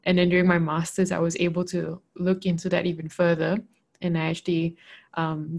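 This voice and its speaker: Woman from malaysia cohosting a podcast, lowish voice with some vocal fry, chatty and full of you-knows. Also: a little mellow. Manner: thoughtful, many fillers like "you know". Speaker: podcast co-host. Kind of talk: casual interview chat.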